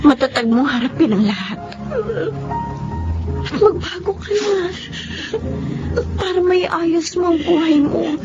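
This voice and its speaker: Pitying, reproachful confidante, radio melodrama, high slow voice, dull recording. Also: female voice, expressive. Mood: sorrowful reproach. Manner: grave, admonishing. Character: sorrowful, pitying, gently reproachful female friend. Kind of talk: melodramatic radio drama acting. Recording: muffled, music clearly behind.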